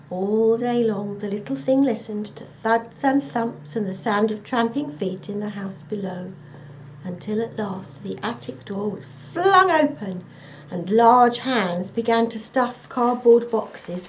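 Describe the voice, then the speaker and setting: A woman reading a children's story aloud as a scripted narration, in an expressive, dramatic storytelling manner. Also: a little high, slight background noise, low-quality recording. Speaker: storybook reader.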